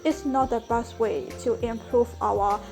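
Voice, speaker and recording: A woman's voice: fairly high pitch, fairly even intonation, measured pace, over music. A young woman with a Burmese accent, a native Burmese speaker, explaining language learning in an earnest, scripted vlog lesson with frequent "so" and "like".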